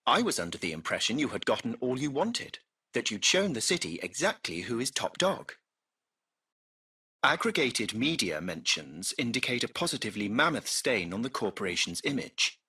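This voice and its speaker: AI chauffeur, very animated intonation, gravelly edge, formal, servile, ornate phrasing, video game concierge. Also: male voice, medium pitch, heavily acted. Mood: smooth, formal. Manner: formal, impeccably polite, ornate, measured diction. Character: courteous, servile artificial intelligence chauffeur of a luxury taxi. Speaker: AI driver and concierge.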